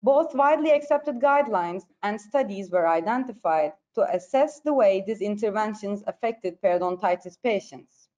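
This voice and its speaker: A woman's voice: mid-range voice, lively intonation, normal speed, hardly any pauses, a little mellow. A woman with a Turkish accent giving a formal, carefully read academic presentation. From Turkey. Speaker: presenter, periodontology postgraduate. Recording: dull, lo-fi online presentation audio.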